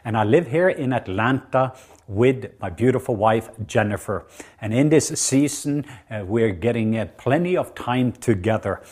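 A man's voice: medium pitch, lively intonation, moderate pace, a little mellow. A middle-aged man from southwest Norway with a Norwegian accent, preaching a sermon in an earnest, emphatic way with many fillers.

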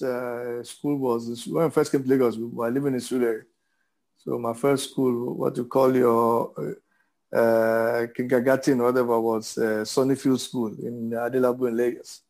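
A man in his fifties with a Nigerian accent giving reflective interview answers, haltingly and with hesitation, repeating words for emphasis. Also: medium pitch, creaky, darkish tone. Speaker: interview guest, businessman.

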